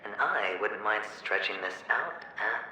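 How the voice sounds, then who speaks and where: A man's voice: fairly low pitch, expressive, unhurried. A sly, taunting young man. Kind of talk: video game cutscene voice acting. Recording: very echoey game audio with ambient noise.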